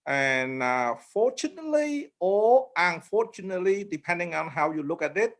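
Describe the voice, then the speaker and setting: A middle-aged man from Cambodia speaking as an interview guest in an informal, humble and halting way. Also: high voice, sing-song. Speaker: interview guest, memoir author.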